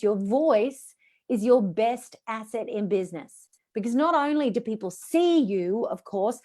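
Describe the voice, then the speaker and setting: A woman's voice: on the high side, a little mellow. A middle-aged woman, a voice coach, teaching an online session over a video call in an encouraging coaching tone.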